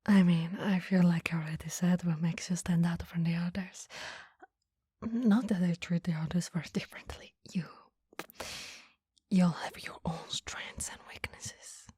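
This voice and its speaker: A woman playing a coy, flustered female boss in a flirty ASMR roleplay, speaking in soft, breathy whispers with a teasing, hesitant manner. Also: lowish voice, steady tone, normal speed, no echo, clean studio sound. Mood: flirty, admiring.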